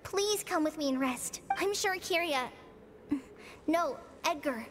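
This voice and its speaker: A woman voicing an earnest, caring young forest ranger in a video game, speaking gently. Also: high-pitched, animated, slow pace, in a large hall, noisy background. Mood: concerned. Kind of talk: English video game voice acting.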